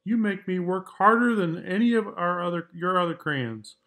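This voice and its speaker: A man voicing an overworked, exasperated red crayon from a storybook, complaining with drawn-out emphasis. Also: high-pitched, sharp tone.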